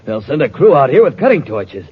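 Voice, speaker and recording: An actor playing a nervous, impatient henchman in a 1950s radio serial, gruff and curt with a husky voice. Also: medium pitch, sing-song, fairly quick. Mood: uneasy impatience. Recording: muffled 1950s radio recording.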